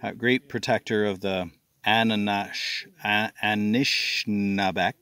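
A man's voice: on the low side, little pitch movement, unhurried, pushed and bright, clean tone. A middle-aged man filming his own hike outdoors, narrating casually and gushing about the scenery, with frequent laughs. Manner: enthusiastic, exclaims about the scenery, laughs often. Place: outdoors on a wooded island. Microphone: handheld camera.

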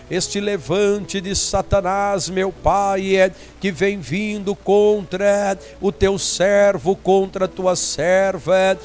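A man's voice: high-pitched, a little mellow. A man with a Brazilian accent leads a fervent intercessory prayer as a preacher, pleading in repetitive phrases punctuated with short interjections. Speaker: evangelical preacher leading a prayer.

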